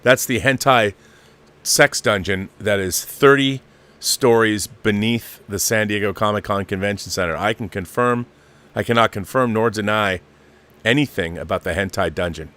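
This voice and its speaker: Low-voiced, emphatic male talk show host. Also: moderate pace, pushed and bright. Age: middle-aged or older. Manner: emphatic, repeats phrases for stress. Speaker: host of a live commentary show. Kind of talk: opinionated casual film commentary answering viewer messages.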